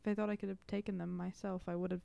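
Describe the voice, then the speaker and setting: A woman's voice: medium pitch, level tone, very fast, creaky, mellow, clean tone, dry recording. A woman playing a tabletop game, bantering casually in character with an emphatic, slangy delivery.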